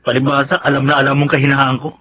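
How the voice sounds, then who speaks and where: A man's voice: mid-range voice, monotone, fast, pushed and bright. An actor playing a cheeky, girl-crazy young soldier sidekick in a Filipino radio drama, whiny and bantering, with a Filipino accent. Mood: cheeky scheming.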